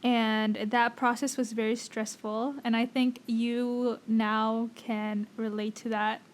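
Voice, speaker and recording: A woman's voice: on the high side, level tone, slow pace, slight background noise. A young woman co-hosting a casual podcast chat, her voice a bit worn from singing, who says "like" a lot. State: losing her voice. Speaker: podcast co-host.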